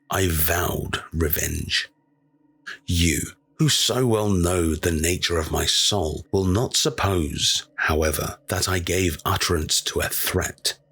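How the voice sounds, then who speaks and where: A man narrating a classic tale in a dramatic reading, measured, solemn and deliberate. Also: low-pitched, fairly bright tone, husky.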